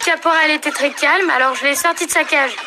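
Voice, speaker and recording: A woman voicing an earnest, caring little boy in a cartoon, gently scolding in manner. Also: sits high, fairly even intonation, a little fast. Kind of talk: animated cartoon dub acting. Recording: loud music behind the voice.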